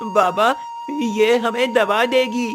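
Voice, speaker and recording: A man's voice: extremely high pitch, fairly even intonation, takes it slowly, music in the background. A man voicing a frightened, freezing young boy in a cartoon story, anxious and pleading. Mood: anxious, scared.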